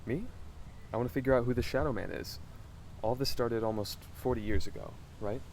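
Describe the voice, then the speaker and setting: An actor playing a curious, determined American investigator in an audio drama, questioning things in a thoughtful, earnest way. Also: male voice, medium pitch, fairly quick, vocal fry, darkish tone, slight background noise, lightly acted, low-quality recording. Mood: inquisitive. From Los Angeles, United States. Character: curious, determined young male amateur investigator. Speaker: investigative podcast host. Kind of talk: naturalistic audio drama dialogue.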